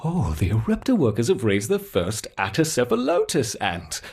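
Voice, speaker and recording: A man's voice: medium pitch, huge pitch swings, normal speed, a little mellow, husky. A man playing an eccentric, stubborn scientist in a video game, theatrical and huffy, muttering as he dotes on his ants. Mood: evasive. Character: eccentric, stubborn male scientist doting on his ant colony. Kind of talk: acted video game dialogue.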